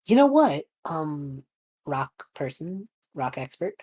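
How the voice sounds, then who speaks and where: A woman's voice: low-pitched, big pitch swings, slow, dark tone, heavily acted. A woman playing an awkward, stammering young male magic student in an improvised tabletop game. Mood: awkward, hesitant. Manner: hesitant, stammering.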